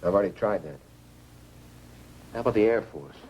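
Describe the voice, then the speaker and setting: A man's voice: fairly low pitch, lively intonation, a little fast, a little mellow, dull audio, natural voice. A man acting a practical, frustrated radio operator in a 1950s science fiction film. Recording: noisy old film soundtrack.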